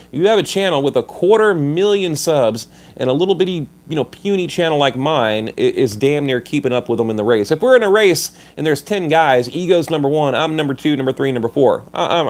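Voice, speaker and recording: A man hosting a casual livestream who is stuffed up and a little hoarse, talking bluntly and combatively while reading viewer comments, often restarting his sentences. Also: a little high, a little mellow. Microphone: phone.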